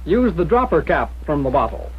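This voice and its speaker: A man's voice: fairly high pitch, big pitch swings, moderate pace, breathy edge. An American man reading a scripted 1940s military instructional narration in a plain-spoken, direct, instructive manner. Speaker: training film narrator. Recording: muffled, dull 1940s film soundtrack.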